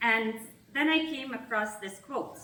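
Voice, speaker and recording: A woman with a Russian accent gives formal opening remarks, her voice a bit higher than most. She speaks in measured, emphatic phrases with deliberate pauses. The sound comes from a distance through a reverberant hall. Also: bright, forceful tone. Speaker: institute president giving opening remarks. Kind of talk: formal speech at a reception. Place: large reception hall with an audience. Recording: echoey hall, voice heard from afar.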